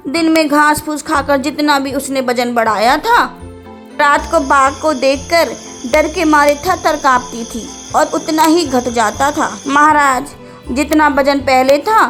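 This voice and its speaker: A woman as a high-voiced clever village granny. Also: unhurried, a bit bright, soft background music. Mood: explaining. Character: clever, plain-spoken old village woman, easily irritated. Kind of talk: animated cartoon story acting.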